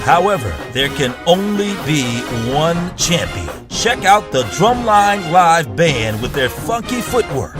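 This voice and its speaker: Lively high male promo announcer. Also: slow pace, few pauses. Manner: showy, announcer-like. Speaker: promo narrator. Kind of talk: scripted promo voice-over. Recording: voice-over over loud music.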